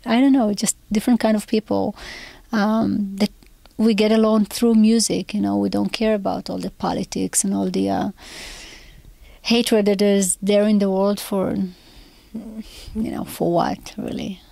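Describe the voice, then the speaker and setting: A woman composer from Israel whose first language is Hebrew, answering interview questions thoughtfully and pausing often to search for words. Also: mid-range voice, fairly even intonation, vocal fry, dark tone, no echo.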